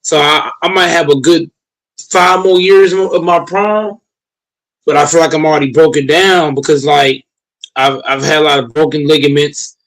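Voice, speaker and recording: Boastful, repetitive man with a higher voice. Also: fairly even intonation, normal speed, fairly bright tone, poor audio. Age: late 20s. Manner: boastful, repeats himself, stammers on restarts. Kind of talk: casual profane chat.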